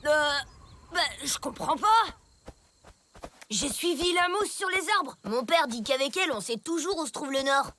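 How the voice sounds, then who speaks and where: A woman playing a mocking cartoon bully boy with a very high, sharp and lively voice. He bosses the group around and sneers at the others, with faint music behind. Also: moderate pace, slight background noise. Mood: bossy. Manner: mocking and bossy. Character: bossy boastful boy bully. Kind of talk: French cartoon dub.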